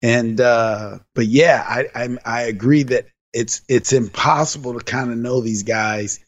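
A hoarse man with a raspy voice, co-hosting a casual podcast chat as a high school football coach, talking in a relaxed, rambling way with many fillers. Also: unhurried, dull audio. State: hoarse from yelling on the sideline.

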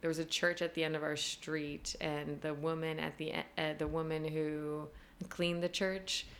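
A woman's voice: deep voice, level tone, normal speed, a bit bright. A young woman being interviewed as a guest, chatty and casual, with plenty of fillers and frequent laughs. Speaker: costume designer, guest.

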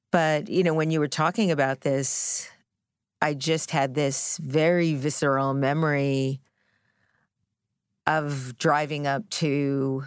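Female interview guest, middle-aged, deep for a woman, introspective and candid, very dry studio-close sound. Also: dry recording. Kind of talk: personal, reflective storytelling in an interview.

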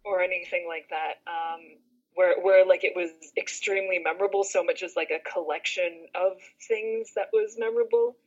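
A woman, a voice actor, talking about recording in a relaxed manner, using lots of fillers. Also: fairly low pitch, normal speed, lo-fi sound. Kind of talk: casual chat.

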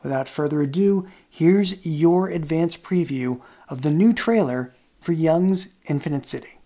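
A show creator of indeterminate-sounding gender giving a measured, earnest scripted introduction. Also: mid-range voice, mellow. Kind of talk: scripted promotional narration.

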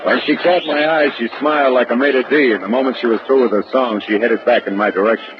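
An actor playing a tough, wisecracking private detective in a 1940s radio drama, narrating and talking in a sardonic way. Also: male voice, medium pitch, fairly even intonation, fast talker, noisy background, close to an everyday voice. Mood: wry narration. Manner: sardonic, hard-boiled. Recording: dull old radio recording with music.

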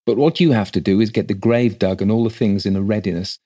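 A man's voice: lowish voice, steady tone, fast talker, dark tone, lightly acted. Country rector, played by a male reader: authoritative, educated, formal and businesslike, for a storybook ghost tale. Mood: impatient, businesslike. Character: brisk, educated, slightly impatient country rector.

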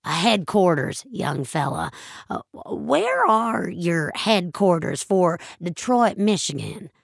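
Anxious elderly woman, acted by a woman, slow, halting, pleading, crotchety, low-ish pitch, audio fiction. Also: big pitch swings, vocal fry, no room sound, clean studio sound, exaggerated voice. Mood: flustered, pleading. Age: older. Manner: halting, pleading, old-fashioned.

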